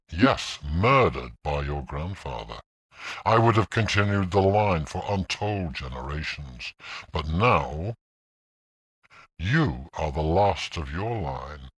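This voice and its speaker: Vengeful theatrical ghost played by a man, low and slow, taunting, for a gothic audio drama. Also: fairly bright tone, breathy edge, no room sound, full character voice. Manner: slow, menacing, declamatory. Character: vengeful male ghost, theatrical, coldly taunting his cousin. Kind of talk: gothic audio-play monologue.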